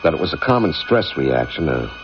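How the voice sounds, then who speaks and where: A man playing a haunted, insistent middle-aged astronaut commander in a radio drama, with a gravelly voice on an old radio recording. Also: very deep voice, very animated, fairly quick. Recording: dull 1980s radio recording, music underneath.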